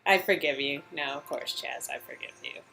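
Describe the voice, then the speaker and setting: A woman's voice: a bass voice, moderate pace, vocal fry, sharp tone, slight background noise, voice pushed down, exaggerated voice, low-quality recording. A woman voicing a supportive, sardonic young aspiring actress in a conversational game-dialogue reading. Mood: reassuring.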